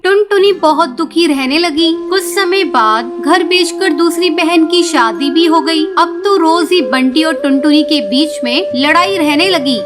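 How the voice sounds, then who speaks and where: A woman narrates a cartoon tale in a very high-pitched voice. Her delivery is scripted storytelling, set over clearly audible music.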